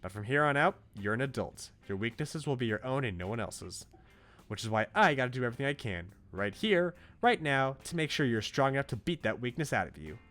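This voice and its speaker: A man voicing a blunt, protective, teasing young woman coach in a visual novel, in a brisk, informal pep-talk manner. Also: mid-range voice, big pitch swings, a bit bright, faint music behind. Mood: earnest, determined.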